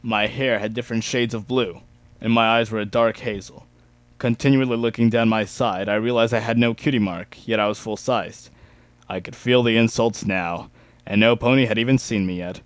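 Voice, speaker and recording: A man's voice: lowish voice, steady tone, normal speed, no room sound. A young man giving an amateur dramatic reading of a first-person story.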